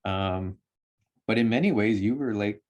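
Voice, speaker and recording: A man's voice: fairly low pitch, unhurried, a little mellow. A man speaking as a guest in a casual discussion, hedging his points with frequent ums.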